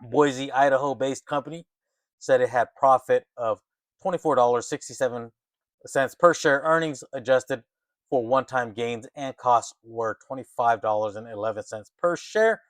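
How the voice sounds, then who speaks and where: A man hosting an informal, excited finance commentary, talking emphatically and conversationally and often checking in with short tag questions. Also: medium pitch, moderate pace, no room sound, clean studio sound. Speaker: host of a stock market commentary channel. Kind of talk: excited informal market commentary, partly reading articles aloud.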